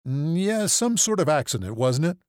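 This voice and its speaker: A man performs a stiff male emergency physician in an audiobook. He sounds formal and affronted, his voice set higher and moving animatedly in pitch. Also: sing-song, normal speed, darkish tone, clean tone, no echo, clean studio sound. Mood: affronted, challenging. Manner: formal, stuffy. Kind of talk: audiobook character acting.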